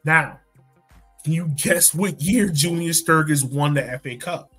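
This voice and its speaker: Man, slightly high voice, conversational podcast host, informal storytelling, checks in with listener, soft music underneath. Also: unhurried, slightly rough. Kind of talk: casual conversational sports storytelling.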